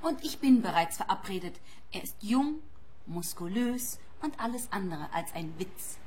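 An actress playing a serious, curt young hotel receptionist in a radio play, cool and unamused. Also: female voice, mid-range voice, lively intonation, measured pace, a little mellow, slight background noise, close to an everyday voice. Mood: matter-of-fact. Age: young adult.

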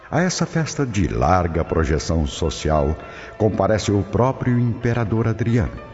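A man with a Brazilian accent reading dramatic scripted narration for a radio drama. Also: very deep voice. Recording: dull lo-fi audio under loud music. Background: music.

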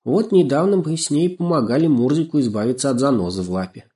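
A man voicing a tiny, friendly, gentle fairy-tale little man who speaks earnestly and thoughtfully. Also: mid-range voice, expressive, fast talker, pitched above the performer's own voice, close to an everyday voice.

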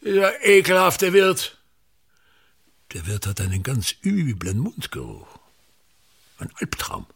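A man's voice: fairly high pitch, huge pitch swings, slow, vocal fry, pauses often, a bit bright, breathy edge, heavily acted. An older actor in a radio play, playing a pompous, embittered old touring stage actor and tyrannical father who declaims and complains theatrically. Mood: disgusted, ranting.

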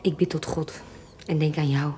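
A woman's voice: deep voice, steady tone, normal speed, warm tone, slight background noise, lightly acted. An actress playing a remorseful, resigned woman swindler condemned to die, in a Dutch radio drama. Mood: tearful regret.

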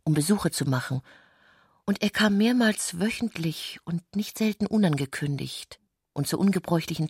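A woman narrates literary prose in a lowish, husky voice. She reads evenly and with composure. The sound is close and dry, as from a radio studio. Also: a little mellow, no echo. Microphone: close, dry studio microphone.

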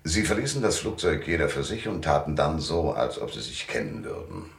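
A man's voice: a bass voice, expressive, fairly quick, creaky, husky, exaggerated voice. A man playing a weary, cynical private detective in a radio drama, narrating and talking in a laconic, dry, world-weary way. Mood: matter-of-fact. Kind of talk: first-person noir narration and dialogue in radio drama. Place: dry studio.

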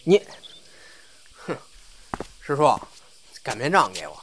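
Bashful, animated young man, played by an actor. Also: mid-range voice, takes it slowly, slight background noise, full character voice. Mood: sulky. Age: young adult. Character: bashful, grumbling young male crosstalk apprentice. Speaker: crosstalk apprentice. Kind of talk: audio drama acting. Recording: background music under the voice.

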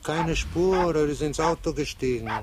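A man's voice: fairly high pitch, moderate pace, heavy background noise, natural voice. An actor playing an older, wry émigré man in a radio drama, colloquial and given to hesitant repetitions. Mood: flustered, puzzled.

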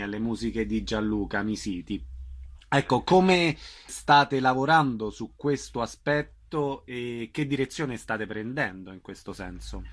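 A man asking interview questions on radio in a measured way, pausing between phrases. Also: mid-range voice.

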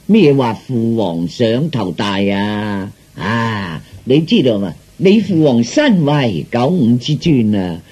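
A man's voice: mid-range voice, sing-song, slow, few pauses, darkish tone, slightly rough, full character voice. An actor playing a pompous, self-indulgent king and doting father in a comic radio drama, speaking with hesitations and sighs. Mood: pompous, coaxing.

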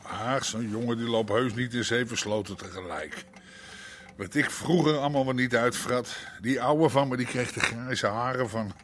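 A weary middle-aged tough played by a male actor, stubborn and gruffly dismissive, with a medium-pitched voice. He talks in plain local colloquial speech in a naturalistic radio play, with a little noise behind him. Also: moderate pace, lightly acted. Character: weary, stubborn middle-aged Amsterdam underworld boss and husband. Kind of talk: naturalistic radio drama acting.

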